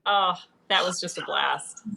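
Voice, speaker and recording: A woman's voice: on the low side, measured pace, creaky, pushed and bright, low-quality recording. A woman speaking as a guest author in a casual livestream, playful and informal in manner. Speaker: author guest on a book live stream.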